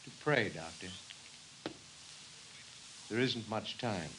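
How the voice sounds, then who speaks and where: An actor playing a humble, reflective professor, speaking solemnly in 1950s radio drama style. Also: male voice, lowish voice, expressive, sharp tone, heavily acted, poor audio. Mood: quiet resolve. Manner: solemn, measured oratory. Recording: hissy vintage 1950s radio recording.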